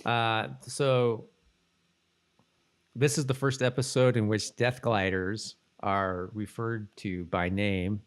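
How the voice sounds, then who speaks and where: A man co-hosting a podcast in casual chat, reading out bits of trivia and thinking aloud in a halting way. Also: fairly low pitch, little pitch movement, clear, resonant tone. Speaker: podcast co-host.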